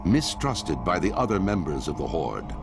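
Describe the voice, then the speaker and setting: A man's voice: low voice, big pitch swings, moderate pace, vocal fry, over music, exaggerated voice. A man playing a grave, commanding storyteller, narrating a fantasy game cutscene in a portentous, theatrical way. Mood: grave, ominous. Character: solemn, commanding male storyteller of an epic fantasy war saga.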